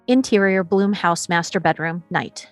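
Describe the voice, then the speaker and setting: A woman's voice: fairly low pitch, moderate pace, dry recording, faint music behind. Woman reading screenplay directions, dry sound. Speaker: stage directions reader. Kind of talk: scripted screenplay narration.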